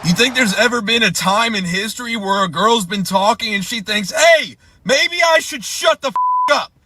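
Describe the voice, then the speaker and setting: A man's voice: high voice, animated, normal speed, pushed and bright. A man delivering a sarcastic, profane rant. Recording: noisy clip with censor beeps.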